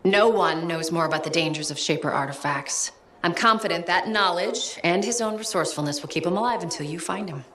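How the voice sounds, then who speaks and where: Woman acting a poised, low-voiced official in an echoey space. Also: a little fast, hardly any pauses, fairly bright tone, close to an everyday voice. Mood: confident. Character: poised, commanding female official handing out missions. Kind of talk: video game dialogue.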